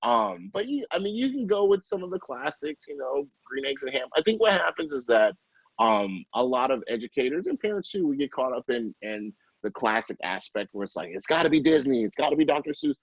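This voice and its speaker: Halting middle-aged man, dry muffled sound. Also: mid-range voice, slightly rough, no echo. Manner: halting, self-interrupting, informal and animated asides. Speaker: early childhood educator, guest. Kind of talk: casual interview answers, recommending.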